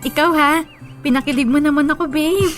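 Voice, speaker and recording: A woman's voice: sits high, a little fast, a bit bright. An actress with a Filipino accent playing a sweet, coy young girlfriend in a radio drama, with music behind her. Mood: affectionate, teasing. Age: young adult. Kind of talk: Tagalog radio drama acting.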